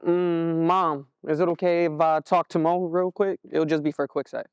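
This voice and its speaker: A man's voice: on the high side, level tone, moderate pace, smooth, no echo, lightly acted. A man with an American accent playing a concerned, easygoing older brother in a stage play, speaking casually. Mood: casual, caring.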